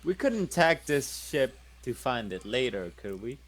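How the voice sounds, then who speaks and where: A man's voice: medium pitch, big pitch swings, unhurried, slight background noise. A man playing in a tabletop role-playing game, chatting casually, joking and swearing. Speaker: player.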